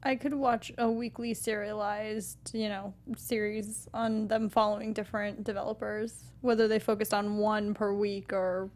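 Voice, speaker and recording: A woman's voice: mid-range voice, level tone, moderate pace, few pauses, a little mellow. A woman chatting casually as co-host of a film discussion show. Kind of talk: casual chat.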